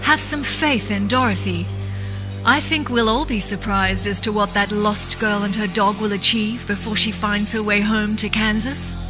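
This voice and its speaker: A woman's voice: medium pitch, steady tone, normal speed, close to an everyday voice. A woman playing a confident, patient, scheming good witch in a fantasy audio drama. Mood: calm, assured. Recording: loud music behind.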